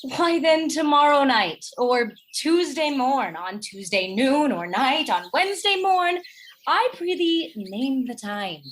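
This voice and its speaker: A woman playing an earnest, affectionate, persistent noble wife in a Shakespearean radio play, expressive and pleading. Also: high-pitched, slow, slight background noise. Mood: pleading, coaxing.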